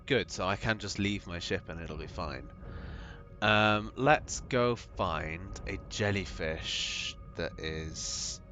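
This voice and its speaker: Gravelly deep-voiced man streaming a game. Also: slow pace, bright, forceful tone. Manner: chatty, thinks aloud, frequent ums. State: gravelly voice after a recent cold. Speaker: streamer playing a video game. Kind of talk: live gameplay commentary, chatting with viewers. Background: game music.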